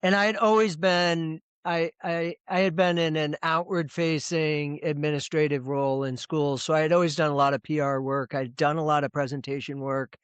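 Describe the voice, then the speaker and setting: A man's voice: fairly high pitch, steady tone, moderate pace, few pauses, clean tone, dry recording. A middle-aged man speaking casually as an interview guest on a video call, rambling with frequent restarts and repeated words. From United States. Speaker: presentation coach, guest.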